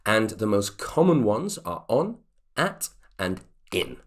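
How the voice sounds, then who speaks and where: A man's voice: on the low side, very animated, slow pace. A man teaching an online English grammar lesson, explaining patiently and checking in with the viewer as he goes.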